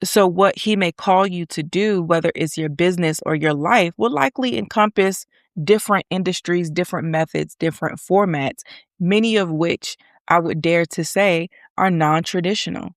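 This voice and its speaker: A woman's voice: fairly low pitch, no echo, clean studio sound. A woman in her thirties hosting a faith podcast, a little congested from allergies, talking in an earnest, encouraging way. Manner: earnest and encouraging, sometimes slow and emphatic. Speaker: podcast host. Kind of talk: faith-based encouragement monologue.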